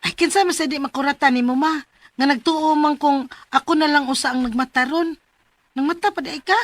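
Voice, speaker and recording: An actress playing a sleepy, stubborn young college student in a radio drama, drawling and whiny. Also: female voice, high voice, a little fast, natural voice. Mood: startled.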